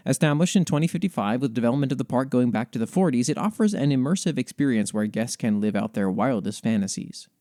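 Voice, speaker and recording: Guy reading fantasy stories on a podcast, soft dark warm voice, measured n expressive, no room sound at all. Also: medium pitch, dry recording, clean studio sound. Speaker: podcast host. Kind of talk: reading written fantasy lore aloud with casual commentary.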